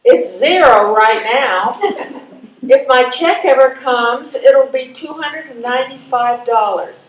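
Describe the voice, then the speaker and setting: A woman's voice: high-pitched, takes it slowly, a little hiss or hum. A woman playing a wry, stubborn, hungry caller of seventy-three in a live readers theatre reading. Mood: exasperated. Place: live stage reading in a room. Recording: echoey room, distant microphone.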